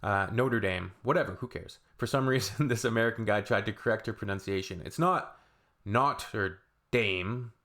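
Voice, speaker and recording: A man's voice: on the low side, normal speed, bright, forceful tone. A man, hosting a reaction video in a loose, rambling way with shrugging asides. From Canada. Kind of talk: casual reaction commentary.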